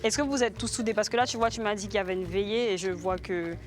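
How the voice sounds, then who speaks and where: A woman's voice: mid-range voice, steady tone, quick pace. A woman interviewing in a casual, slangy way, reacting often as she listens. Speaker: reporter, interviewer. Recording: music behind the voice. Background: background music.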